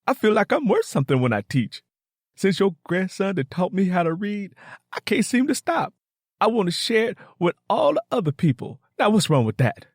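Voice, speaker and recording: A man voicing an earnest, excitable young country woman with an American Southern accent in an audiobook. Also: sits high, normal speed, no echo, clean studio sound, voice pushed up, heavily acted. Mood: passionate, pleading. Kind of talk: audiobook character dialogue.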